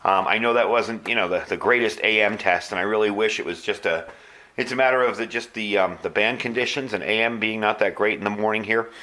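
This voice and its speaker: A man's voice: lowish voice, fairly quick, sharp tone. A man giving a casual, conversational hands-on product review indoors. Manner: conversational, informal. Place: indoors, small room at a tabletop. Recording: clear background room noise.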